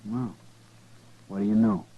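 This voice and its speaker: An actor playing a sullen, defiant young frontier son in a radio western, husky, with a rural western American accent. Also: male voice, on the low side, a little slow. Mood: guarded. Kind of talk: 1950s radio western acting. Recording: very muffled, narrow old radio sound.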